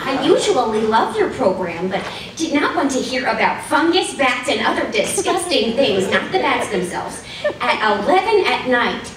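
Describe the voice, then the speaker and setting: A woman's voice: high voice, sing-song, moderate pace, crowd noise. Woman reading letters indignantly on stage. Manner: exaggerated indignant reading, audience laughing. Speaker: stage reader. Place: stage in a large hall. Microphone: stand mic at a music stand. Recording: echoey hall from far off.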